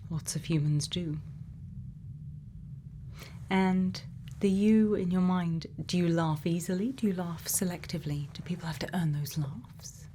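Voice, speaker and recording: Slow, low, soft ASMR woman, half-whispering. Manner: gentle, unhurried, soothing. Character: sweet, caring scientist speaking to a robot friend. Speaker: ASMR performer playing a scientist. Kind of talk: soft-spoken ASMR roleplay, often whispered. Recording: faint background noise.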